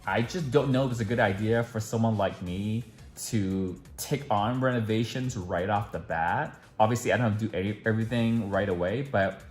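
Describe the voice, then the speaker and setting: Man, lowish pitch, casual vlog chat, lots of filler words, faint music behind. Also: normal speed, hardly any pauses. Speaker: vlogger. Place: at home.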